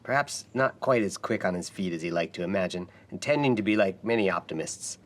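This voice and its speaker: A man speaking as a novelist in a measured, reflective way. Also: sits low, expressive. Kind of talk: reflective interview and reading from own prose.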